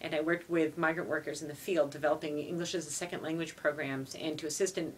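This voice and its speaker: A woman's voice: low voice, steady tone, fast talker, slight background noise, rough recording. A woman from Montreal, a native French speaker, answering interview questions as a foreign language teacher, earnest and dotted with "you know.". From Canada (Montreal).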